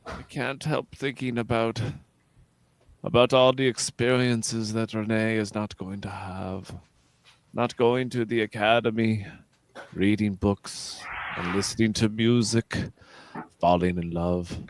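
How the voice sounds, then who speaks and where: A man playing a dignified, ageing, reserved starship captain with measured, formal delivery in a sci-fi radio theatre read. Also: fairly low pitch, fairly even intonation, no room sound. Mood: grieving.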